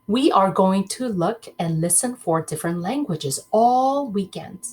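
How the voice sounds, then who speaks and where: A woman's voice: mid-range voice, lively intonation, a little slow, darkish tone, slightly rough. A woman teacher reading a children's book aloud, expressively, breaking off to question her pupils directly.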